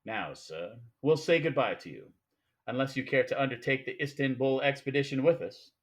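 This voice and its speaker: An actor playing a genial, grandiloquent criminal mastermind in a 1940s radio drama, florid and courtly. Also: male voice, medium pitch, expressive, moderate pace, close to an everyday voice. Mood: smugly polite.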